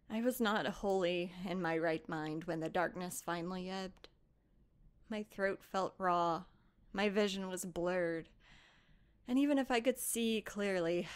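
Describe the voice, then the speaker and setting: A woman playing an earnest, haunted young female blacksmith in tabletop roleplay, telling her story in measured, literary phrases with dramatic pauses. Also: mid-range voice. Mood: somber.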